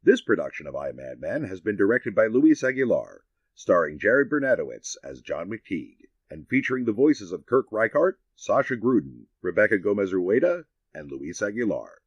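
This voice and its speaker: A man's voice: fairly low pitch, sing-song, normal speed, slightly rough, no room sound. A man reading scripted credits as the announcer of a radio drama. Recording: dull, slightly muffled, dry.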